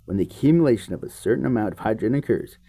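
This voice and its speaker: Low-voiced man with a gravelly, animated delivery. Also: very fast. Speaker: host reading a book chapter aloud. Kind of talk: read-aloud of a book with offhand asides.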